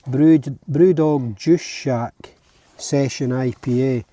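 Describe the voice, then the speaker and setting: A man with a Scottish accent and a husky voice, chatting casually and informally to camera outdoors as a camping vlogger. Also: mid-range voice, takes it slowly. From Scotland. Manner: relaxed, informal, chatting as he goes. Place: outdoors at a lochside campsite.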